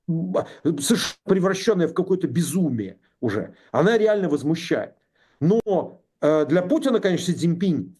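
A man in his sixties talking politics, indignant and sarcastic, with halting speech full of pauses and fillers. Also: fairly high pitch, dark tone, husky. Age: 60s. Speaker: political commentator. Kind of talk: political discussion.

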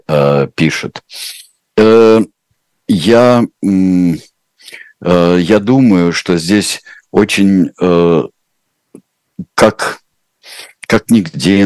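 Very deep-voiced man, hesitant and thoughtful. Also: a little mellow, no echo. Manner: hesitant, thoughtful, heavy with filler sounds. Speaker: translator answering viewer questions. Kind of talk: unscripted reflective answers.

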